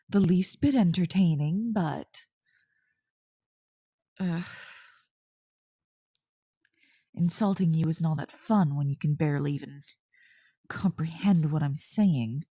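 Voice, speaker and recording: A woman's voice: on the low side, mellow, slightly rough, no room sound, studio quality, exaggerated voice. A woman playing a snarky tsundere young succubus who secretly cares, teasing and exasperated in an ASMR roleplay. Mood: teasing, coaxing. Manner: teasing, exasperated, sighing, grudgingly soothing.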